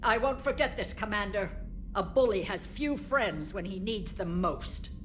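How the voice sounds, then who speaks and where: A woman's voice: medium pitch, expressive, a little slow, fairly bright tone, slight background noise. An actress playing a stern, obstinate female alien politician in a video game, speaking with cold, clipped haughtiness. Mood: resentful.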